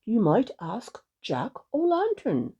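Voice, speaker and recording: Lady doing an old pixie fiddler man, grave and really slow, creaky, storybook. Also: on the high side, wildly swooping pitch, mellow, highs cut off, full character voice. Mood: matter-of-fact advice.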